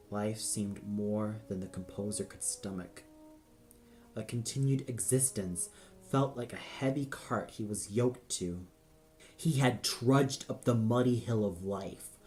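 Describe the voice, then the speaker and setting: A man narrating a dramatic audio story in a grave, deliberate manner. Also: mid-range voice, a little mellow.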